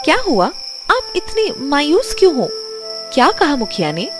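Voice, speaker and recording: A woman's voice: high-pitched, huge pitch swings, normal speed, music in the background, voice pushed up. A woman voicing a pushy, money-minded village wife who nags persuasively in a cartoon story. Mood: concerned. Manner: persuasive, nagging. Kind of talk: animated moral bedtime story.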